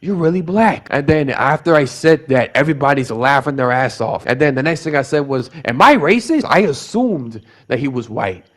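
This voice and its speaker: A man's voice: medium pitch, normal speed, hardly any pauses. Rambling young man with a Bronx accent. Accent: New York, Bronx. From the Bronx, New York. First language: Spanish. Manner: rambling and animated, with many restarts and repeated words. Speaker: vlogger. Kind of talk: casual storytelling vlog.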